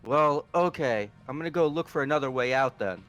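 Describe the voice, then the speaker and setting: A man's voice: medium pitch, animated, fairly quick, fairly bright tone, faint music behind, natural voice. A man voicing a brash, aggressive young tough guy in a video game, with a blunt manner. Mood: gruff frustration. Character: brash, foul-mouthed young male delinquent tough guy. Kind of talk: amateur visual novel voice acting.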